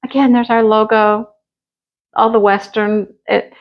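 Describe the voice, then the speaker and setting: A woman's voice: mid-range voice, level tone, measured pace, lo-fi sound. A woman from Michigan who is losing her voice, hosting her craft channel and chatting casually as she shows things off. She gushes often about things she loves. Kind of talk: casual show-and-tell chat.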